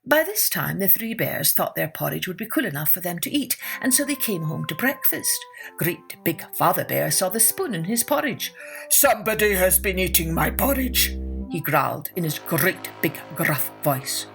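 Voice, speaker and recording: A woman's voice: on the low side, very animated, moderate pace, gravelly, a bit bright, soft background music. A woman narrating a children's fairytale as a podcast storyteller, expressively and with dramatic emphasis.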